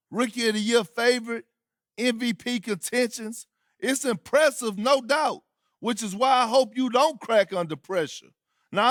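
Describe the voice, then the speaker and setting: A man's voice: very high voice, fairly bright tone, dry recording, studio quality. A man playing a cocky sports show host who needles a rookie with teasing, skeptical, punchy delivery in a video game. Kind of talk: sports talk show monologue.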